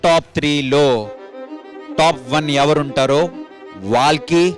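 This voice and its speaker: A man with a Telugu Indian accent speaking as a dance show judge, deliberate and mixing in English phrases. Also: fairly high pitch, steady tone, music in the background. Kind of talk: explaining competition rules on stage.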